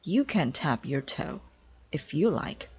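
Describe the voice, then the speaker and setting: A woman's voice: low-pitched, very animated, takes it slowly, slightly rough, highs cut off, heavily acted. A woman reading a children's storybook, playing a strict, impatient male orchestra conductor with a stern, emphatic delivery. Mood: instructing. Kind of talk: children's storybook read-aloud.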